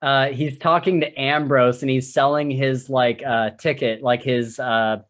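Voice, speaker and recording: A man's voice: medium pitch, steady tone, unhurried, fairly bright tone. A man with a mid-range voice speaks as a podcast co-host in a loose, casual discussion. He comes across as an enthusiastic fan, talking informally with friends.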